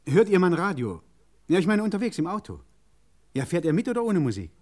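An actor in a German radio crime drama playing a practical, helpful garage mechanic who talks in a halting, reassuring, matter-of-fact way. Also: male voice, sits high, sing-song, darkish tone. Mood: helpful, eager.

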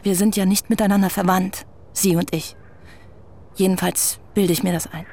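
A young woman writer, voiced by an actress, presses her questions politely but keeps at them. She speaks in a measured, courteous radio-play manner. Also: medium pitch, steady tone, a little mellow, slight background noise, natural voice. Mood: earnest. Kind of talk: radio drama acting.

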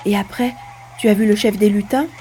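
A woman's voice: medium pitch, fairly even intonation, fairly quick, mellow, slight background noise, close to an everyday voice. A woman playing an earnest, curious little boy in a scripted audio storybook. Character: curious, eager little boy, a grandchild at bedtime.

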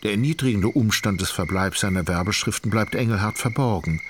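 An actor as a detached, wry literary narrator, reading ornate prose in a measured, ironic way for a radio drama. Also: male voice, on the low side, close to an everyday voice. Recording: noisy outdoor ambience with crickets.

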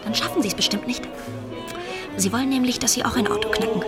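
An actress in a German radio play as a cool woman go-between, briskly passing on a gang's instructions. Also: fairly high pitch, over loud music, lightly acted. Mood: cool, businesslike.

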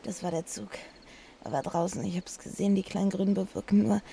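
An actress playing a weak, dazed but stubborn young woman in a German radio drama, speaking haltingly with a creaky voice. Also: medium pitch, fairly even intonation, warm tone, heavily acted. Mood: insistent, agitated.

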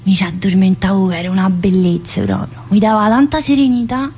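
A woman's voice: mid-range voice, steady tone, brisk, warm tone, a little hiss or hum, lightly acted. An actress playing a talkative, earthy woman inmate in a theatrical monologue, rambling colloquially with a central-southern Italian accent. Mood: wistful, tender. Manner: colloquial, rambling, full of interjections.

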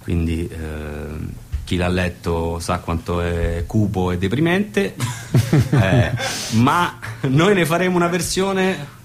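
An Italian man chatting casually as he introduces songs as a band member, hesitant and halting. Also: sits low, animated, slight background noise. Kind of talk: casual chat between songs.

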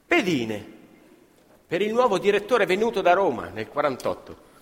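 High-pitched, animated male storyteller. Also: normal speed, breathy edge. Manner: dramatic, emphatic, with repetitions. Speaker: actor-narrator. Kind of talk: theatrical scripted storytelling. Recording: reverberant hall with live music. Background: live music with violin.

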